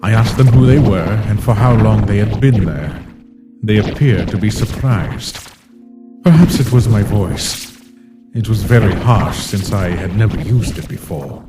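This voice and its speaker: A man voicing a weary, brooding ancient being who narrates a comic dub in a solemn, measured way. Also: fairly low pitch, lightly acted. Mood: pensive, guarded. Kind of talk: scripted first-person comic dub narration. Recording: voice under loud music.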